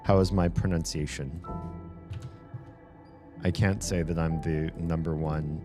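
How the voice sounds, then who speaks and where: A very deep-voiced man talks slowly and loosely to his live-stream audience, wandering from thought to thought and restarting sentences. He records alone in a room at home, and music plays clearly behind him. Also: steady tone. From United States. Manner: rambling, chatty, self-correcting. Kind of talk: casual live-stream chat.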